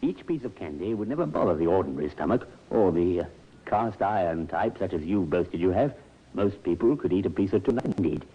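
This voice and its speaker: An actor playing a methodical country doctor with a measured, formal, precise manner in an old radio drama. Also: male voice, low-pitched, lively intonation, slightly rough. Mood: grave, careful. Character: methodical country doctor, measured and quietly wry male professional. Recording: dull, noisy old radio recording.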